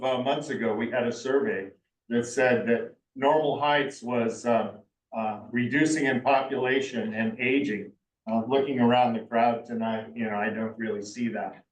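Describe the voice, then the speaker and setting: A man speaking up at a community planning meeting, asking questions with frequent pauses and restarts. His voice carries from a distance across a reverberant meeting room. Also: mid-range voice. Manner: hesitant, questioning, many fillers. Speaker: public commenter. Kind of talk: public comment at a community meeting. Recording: echoey room, distant mic.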